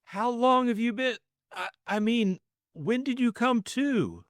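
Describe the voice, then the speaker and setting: A man's voice: extremely high pitch, slow, dry recording, clean studio sound, voice pushed up. A man voicing a flustered, lovestruck young Englishman, stammering and eager. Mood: astonished.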